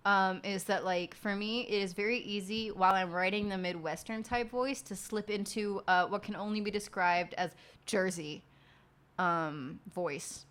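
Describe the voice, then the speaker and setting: A woman's voice: mid-range voice, a little slow, fairly bright tone, smooth. A woman streamer and podcast host, chatting casually and rambling with lots of fillers and swearing as she works late at night. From outside the United States. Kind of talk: casual late-night chat while working.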